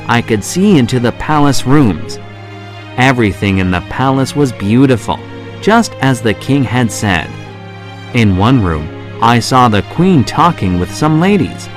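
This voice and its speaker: An actor playing a curious, polite traveler who narrates his own children's story with expressive, clear phrasing. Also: mid-range voice. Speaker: first-person narrator.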